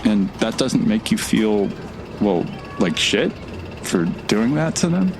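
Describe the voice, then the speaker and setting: A blunt, sardonic male wanderer in an audio drama, with a fairly low voice. His talk is casual and wry, over faint outdoor ambience. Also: sing-song, takes it slowly, vocal fry, background noise, heavily acted. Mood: accusing, incredulous.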